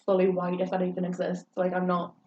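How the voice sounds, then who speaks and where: A young woman talks candidly, circling her thoughts with frequent pauses and fillers. Her voice is mellow and sits well back from the mic. The room echoes around her, and a dull, lo-fi sound carries a faint hiss. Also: lowish voice, completely flat delivery, recorded from a distance. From England. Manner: earnest, rambling, frequent hesitations. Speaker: podcast co-host. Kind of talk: candid personal chat.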